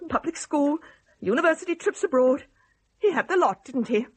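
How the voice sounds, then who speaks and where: A woman playing a resentful, long-suppressed middle-aged daughter in a radio drama, with a British accent, a husky voice and pointed, sarcastic questions. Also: high voice, expressive, normal speed, poor audio. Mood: bitter, challenging.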